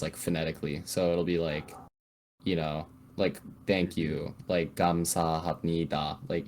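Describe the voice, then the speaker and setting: Young Bay Area guy, very deep voice, rambling, faint background hiss. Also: a little mellow. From San Francisco Bay Area. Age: young adult. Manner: rambling, heavy use of "like". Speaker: guest, EMT. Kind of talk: casual podcast chat.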